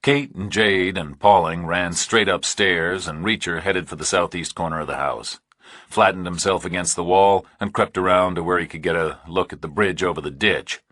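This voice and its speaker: A man's voice: low voice, normal speed, bright, forceful tone, slightly rough, no echo, clean studio sound. A man reading a thriller audiobook as scripted narration.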